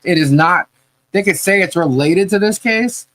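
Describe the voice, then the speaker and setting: A woman's voice: lowish voice, normal speed, fairly bright tone, low-quality recording. Nasal-sounding woman hosting a livestream. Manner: rambling, with frequent restarts and laughs. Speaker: livestream podcast host. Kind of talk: casual livestream chat.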